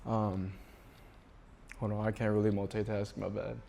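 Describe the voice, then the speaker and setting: A man in his twenties from South Korea, a native Korean speaker, talking casually and haltingly to camera in unscripted English as a beginner vlogger. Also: creaky. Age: 20s. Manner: hesitant, halting, low-key. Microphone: small wireless clip-on mic. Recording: steady background noise on a small wireless mic.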